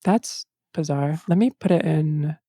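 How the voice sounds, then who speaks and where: A woman acting as game master in casual table talk and narration, halting and full of false starts, recorded close at home. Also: deep voice, mellow, no echo.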